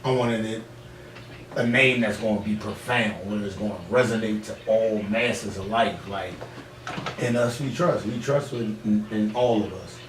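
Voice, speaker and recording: A man hosting a casual podcast, talking in animated, profane slang. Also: fairly low pitch, moderate pace. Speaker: podcast host. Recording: roomy, echoey, distant mic, background noise.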